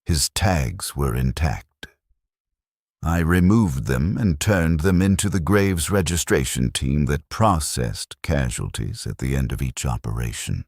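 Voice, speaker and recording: A man reading a scripted first-person war story in a detached, clinical and measured manner. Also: rumbling low, lively intonation, darkish tone, no room sound, clean studio sound. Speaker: narrator of a science fiction war story.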